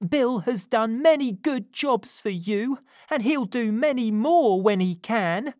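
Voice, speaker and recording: A woman's voice: fairly high pitch, lively intonation, slow, a little mellow, no room sound, clean studio sound, voice pushed up. A woman voicing a weary, bitter young woman in a storybook.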